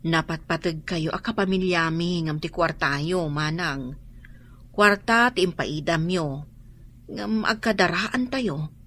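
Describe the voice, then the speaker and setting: A woman's voice: fairly low pitch, slow, fairly bright tone. A woman playing a plain-spoken, feisty middle-aged country wife in an Ilocano radio drama, with an Ilocano Filipino accent. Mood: reproachful.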